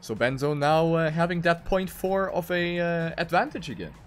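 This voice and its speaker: A man's voice: fairly high pitch, animated, normal speed, soft background music. A man giving live commentary on a video game race.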